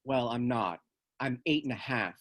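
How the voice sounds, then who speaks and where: A cocky, scheming little boy played by a woman at a table read, pitched surprisingly low. The delivery is cheeky and challenging, with lively swings in intonation. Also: normal speed. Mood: cheeky, bargaining. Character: cocky, scheming eight-year-old neighbor boy.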